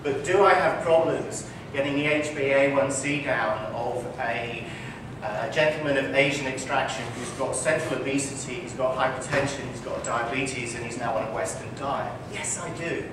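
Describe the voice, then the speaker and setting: A man's voice: mid-range voice, normal speed. A man, a physician, answering interview questions on a conference stage, speaking in an articulate, emphatic way and repeating his key points. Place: conference hall before an audience. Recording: echoey hall, distant mic, noisy.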